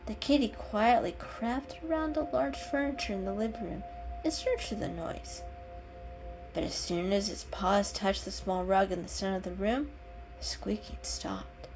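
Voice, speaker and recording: A woman's voice: medium pitch, normal speed, low-quality recording. A woman narrator giving a dramatic storybook reading. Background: music.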